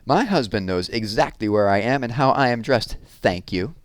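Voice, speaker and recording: A man's voice: mid-range voice, lively intonation, normal speed, no room sound, lightly acted. A man voicing a proper, outspoken married woman in a storybook reading, emphatic and prim. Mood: prim, defiant.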